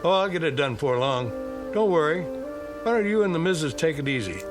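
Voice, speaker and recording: A man playing a laid-back small-town garage mechanic in a radio drama, folksy and unhurried. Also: on the high side, sing-song, over music. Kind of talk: radio drama character dialogue.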